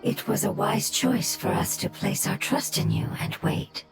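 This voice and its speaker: A woman voicing a serene, ancient elemental forest creature in a video game, soft, breathy, measured and solemn. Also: mid-range voice, faint music behind, natural voice.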